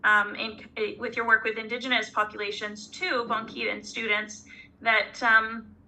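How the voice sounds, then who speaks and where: A woman's voice: a little high, flat delivery, measured pace, bright, forceful tone, low-quality recording. A woman from Canada, an urban studies scholar, reflecting in an online seminar; she talks thoughtfully and hesitantly, with many restarts. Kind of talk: reflective academic seminar discussion.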